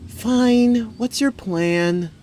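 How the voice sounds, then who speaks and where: A woman's voice: fairly high pitch, drawn-out words, warm tone. A woman playing a jaded, flirtatious fantasy seductress with a sultry, teasing drawl in an audio drama. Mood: sarcastic, bored.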